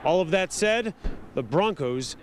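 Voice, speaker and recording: A man's voice: sits high, lively intonation, unhurried, noisy background. A man with an American accent giving a scripted sports report.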